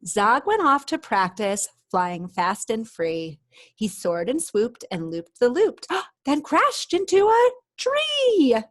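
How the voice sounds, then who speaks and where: A woman reading a storybook aloud expressively for children, pausing for chatty explanations and questions to her young listeners. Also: fairly high pitch, sing-song.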